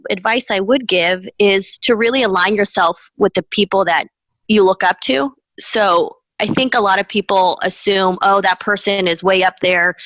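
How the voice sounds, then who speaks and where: A woman's voice: mid-range voice, a bit bright, dry recording, poor audio. Cheerful woman being interviewed, spanish was her first language, dry close mic. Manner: upbeat, laughs between points, many "um" and "you know". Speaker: mortgage professional, interview guest. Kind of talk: conversational interview.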